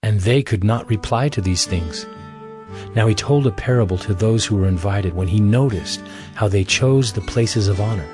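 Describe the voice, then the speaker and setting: A man's voice: fairly low pitch, moderate pace, music in the background. A man narrating scripture from a script for an audio drama.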